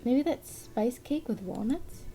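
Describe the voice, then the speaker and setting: A woman's voice: on the high side, expressive, unhurried, creaky, dark tone, faint music behind, pitched above the performer's own voice. A woman voicing a young adult female novelist on a phone call, friendly and a little defensive, a bit sniffly. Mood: reassuring.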